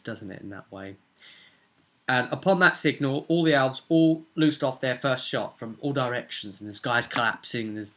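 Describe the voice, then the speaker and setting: Male game master, mid-pitched and bright, informal session recap, rambling and halting storyteller. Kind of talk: casual unscripted storytelling recap.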